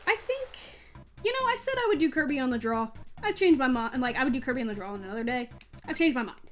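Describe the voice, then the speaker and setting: A woman's voice: sits high, lively intonation, quick pace. A woman hosting a let's play, giving casual gameplay commentary in a chatty, self-deprecating way. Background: video game music.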